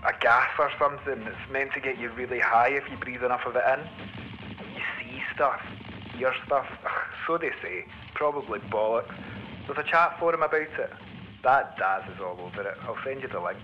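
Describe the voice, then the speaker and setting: A man's voice: mid-range voice, normal speed, muffled recording, soft background music, lightly acted. A man acting in a radio drama as a sceptical, disapproving Scot, terse and dismissive. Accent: Scottish. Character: gruffly sceptical, disapproving Scottish man on the phone.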